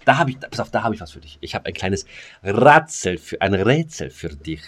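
A man with a low-pitched voice hosts a casual podcast. He rambles through jokey banter in colloquial speech, circling back and repeating words as he goes. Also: big pitch swings, moderate pace, fairly bright tone. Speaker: podcast host.

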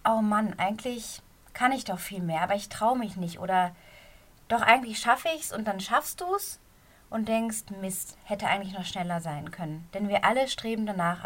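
Slightly hoarse woman, coaching podcast. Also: medium pitch. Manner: encouraging, addresses listener directly. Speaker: podcast host and running coach. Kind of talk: solo motivational podcast monologue. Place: quiet corner of a bedroom.

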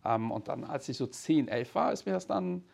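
A man's voice: on the high side, lively intonation, moderate pace, creaky, a little mellow. A man, telling stories casually and candidly in colloquial language. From Cologne, Germany. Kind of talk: casual conversational storytelling.

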